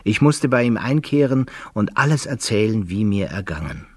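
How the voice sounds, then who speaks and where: An actor playing a roguish, godless musketeer in a German radio drama, with a husky voice and archaic phrasing. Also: male voice, lowish voice, big pitch swings, normal speed, a little mellow, dry recording, clean studio sound. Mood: reflective, confessional. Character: roguish, godless musketeer recounting his wretched life. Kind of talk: German radio drama acting, archaic first-person narration.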